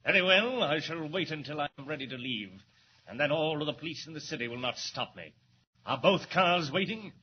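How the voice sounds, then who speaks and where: An actor playing a cold, commanding criminal mastermind with formal, threatening speech in 1940s radio style. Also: male voice, a little high, lively intonation, moderate pace, dull audio, close to an everyday voice. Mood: grim, commanding. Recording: noisy, band-limited old radio recording.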